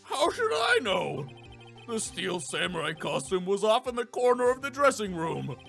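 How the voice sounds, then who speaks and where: Man doing a high, panicky, timid guy. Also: wildly swooping pitch, normal speed, creaky, a bit bright, faint music behind, heavily acted. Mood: panicked, defensive. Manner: stammering, pleading. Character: timid, panicky accused male actor.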